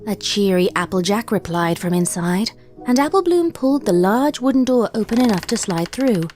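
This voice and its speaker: A woman reading a horror fan story aloud in steady scripted narration. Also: medium pitch, moderate pace, soft background music.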